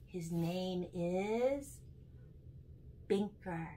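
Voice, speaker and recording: A woman in her sixties reading children's poems aloud as a storyteller, with deliberate, animated phrasing and dramatic pauses. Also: fairly low pitch, a little hiss or hum. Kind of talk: expressive children's poetry reading. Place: small furnished room indoors.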